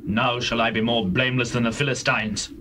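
An actor playing a proud, vengeful biblical strongman with measured, declamatory delivery. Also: medium pitch, steady tone, natural voice. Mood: defiant. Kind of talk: dramatized scripture acting. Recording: noisy old cassette transfer.

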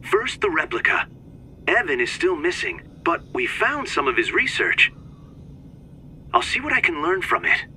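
Earnest young scientist in a game, bright voice, very lively pitch, precise n formal. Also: male voice, slight background noise, full character voice. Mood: polite, businesslike. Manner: measured, precise, formal reading. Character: earnest, scholarly young male researcher calling by video phone. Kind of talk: video game cutscene acting.

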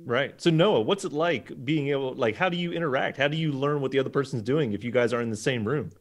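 Male host with a mid-pitched voice. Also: fast talker. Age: 30s. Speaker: show host. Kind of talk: casual upbeat interviewing. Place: small wood-panelled studio, indoors. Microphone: desk studio microphone.

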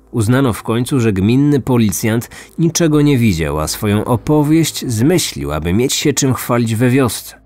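A man hosting a true-crime podcast, narrating from a script in measured storytelling style. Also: medium pitch, a little mellow, no room sound. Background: background music.

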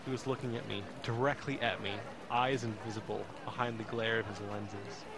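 An actor playing an anxious, emotional young man who narrates his ordeal in an audio drama, intense and halting. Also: fairly low pitch, fairly even intonation, noisy background, close to an everyday voice. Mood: tense, afraid. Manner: intense, halting, repeats words.

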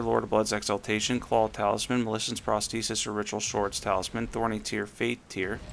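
A man with a hoarse voice from a cold, giving an upbeat commentary on a game build as a channel host. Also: medium pitch, bright, forceful tone, slight background noise. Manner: upbeat, enthusiastic rundown.

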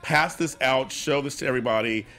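A man's voice: mid-range voice, a little fast, bright, forceful tone. A middle-aged man hosting a studio interview, effusive and enthusiastic, speaking into a large mic. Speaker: host. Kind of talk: casual interview chat. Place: podcast studio. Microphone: large studio mic with headphones.

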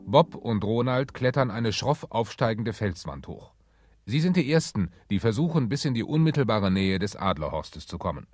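A man's voice: lowish voice, big pitch swings, normal speed, a little mellow, dry recording, faint music behind. A man narrating a children's adventure radio play from a script. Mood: measured. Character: male narrator of adventure story.

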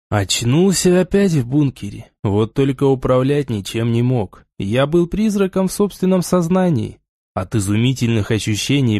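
A man narrating a fantasy novel in the first person, with measured, expressive storytelling. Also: medium pitch, dry recording, clean studio sound. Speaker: audiobook narrator.

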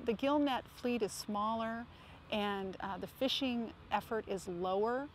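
Woman interviewed with motor noise behind. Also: fairly high pitch. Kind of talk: documentary interview. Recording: clear engine and outdoor noise.

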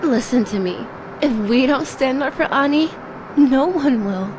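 A woman's voice: high voice, lively intonation, unhurried, noisy background. A woman playing a tough, streetwise young woman who is wounded and out for revenge, in an audio drama. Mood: urgent. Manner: defiant, streetwise. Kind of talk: film-style audio drama acting.

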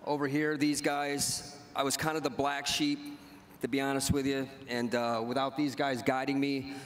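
A man's voice: medium pitch, little pitch movement, moderate pace. A middle-aged man giving a casual, joking acceptance speech at a podium, telling stories with fillers and restarts and acting out what people said. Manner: rambling storytelling with fillers and restarts, acting out quoted dialogue. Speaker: hall of fame inductee giving acceptance speech. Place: large banquet hall, at a podium. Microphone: podium microphone. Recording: long hall reverb from the room.

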